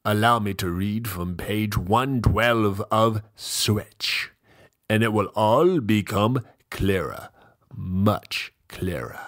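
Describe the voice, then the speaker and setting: A man playing a pompous, fussy, self-indulgent gentleman host who gives mock-literary dramatic readings with drawn-out, theatrical pauses. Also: fairly low pitch. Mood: grandly dramatic.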